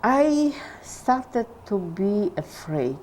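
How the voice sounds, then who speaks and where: Older woman recalling slowly. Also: mid-range voice, very animated, a little mellow, slight background noise, rough recording. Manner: halting, searching for English words. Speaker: interviewee. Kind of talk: oral history testimony.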